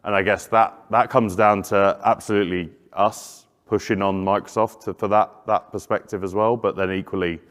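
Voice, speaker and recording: A man presenting at a conference, answering questions in a measured, hesitant way. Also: lowish voice, fairly even intonation, a bit bright. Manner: hesitant, restarts phrases, measured. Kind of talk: conference session Q&A. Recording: long reverb of a conference hall.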